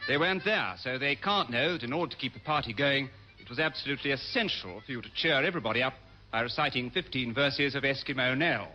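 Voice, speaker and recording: A man's voice: medium pitch, fast talker, muffled recording, music in the background, close to an everyday voice. A British man giving arch, tongue-in-cheek scripted narration in an old radio comedy style.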